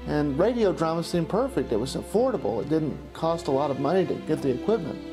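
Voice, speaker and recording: A man's voice: on the high side, expressive, fairly quick, over music, low-quality recording. A man in his forties reading a scripted, first-person documentary narration. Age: 40s. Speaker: narrator looking back on his amateur studio.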